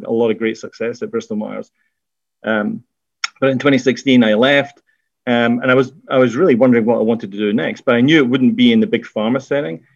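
A middle-aged man with a Scottish accent, talking through his career as an interview guest in a measured way, with frequent fillers and restarts. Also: medium pitch, dry recording, highs cut off. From Scotland. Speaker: biotech executive guest. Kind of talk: conversational interview.

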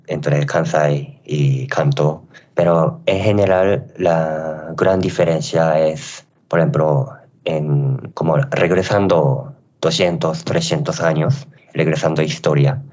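A man who is a chef and a native Japanese speaker, answering interview questions haltingly and often searching for words and correcting himself. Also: very deep voice, a little mellow, dull audio. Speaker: chef, guest. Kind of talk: interview answers on a radio show.

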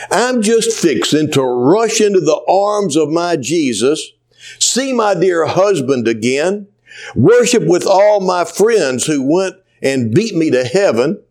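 A man's voice: a little high, voice pushed up. A man doing a cheerful old lady. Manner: warm, gently scolding, folksy. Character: cheerful devout elderly Southern woman, dying patient.

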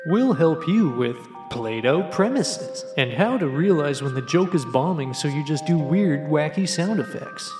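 A man playing a smarmy pitchman in a parody commercial, with a slightly high voice and sarcastic salesman delivery. Heavy reverb surrounds him and music plays clearly behind. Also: animated, a little slow, few pauses. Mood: mock-sincere salesmanship. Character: smarmy mock infomercial pitchman for a hack comics' program.

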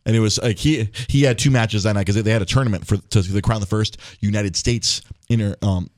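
A man hosting a casual podcast chat, talking in an animated way, swearing, laughing and stumbling over his words. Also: on the low side, fairly quick, no echo, clean studio sound. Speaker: podcast host.

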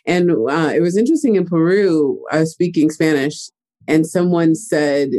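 A young man talking about his life as an interview guest, lively and chatty. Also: on the high side, moderate pace. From United States. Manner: animated, chatty storyteller. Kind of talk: casual interview talk.

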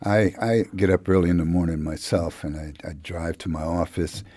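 A middle-aged man giving conversational political commentary as a panelist, frank and measured with some hesitation. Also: sits low, steady tone, mellow, no room sound. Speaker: newspaper journalist as panel commentator. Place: radio studio.

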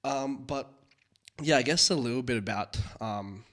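A man raised in Australia, a podcast guest, telling his story in a relaxed, rambling way with many fillers. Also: mid-range voice, a little slow. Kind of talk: casual chat.

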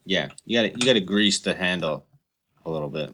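A man's voice: sits low, expressive, fast talker, fairly bright tone. A man co-hosting a podcast in casual, joking banter with irreverent and heavily profane slang. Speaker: podcast co-host.

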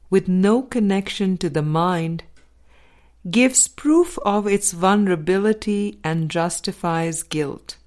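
A woman narrating a spiritual book as a scripted reading, with measured, deliberate phrasing. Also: medium pitch, darkish tone. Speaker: audiobook reader.

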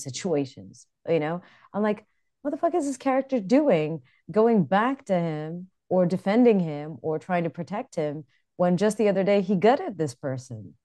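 A woman with an American accent, co-hosting a podcast in casual, animated chat. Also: lowish voice. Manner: chatty, animated storytelling with frequent laughs. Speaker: podcast co-host.

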